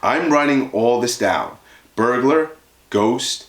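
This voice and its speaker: A blunt, sour young girl from a children's storybook, acted out by a man. The lines jump around in pitch with an accusing edge. The room adds a noticeable echo. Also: lively intonation, takes it slowly. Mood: puzzled. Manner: indignant, blunt.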